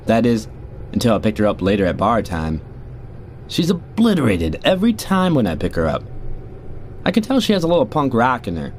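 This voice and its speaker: A man's voice: lowish voice, a little mellow. A middle-aged man playing a jaded rideshare driver, narrating a scripted audio drama in a conversational, wry, confiding way. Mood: wry, casual. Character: jaded male rideshare driver telling creepy stories. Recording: noisy, car and street ambience behind.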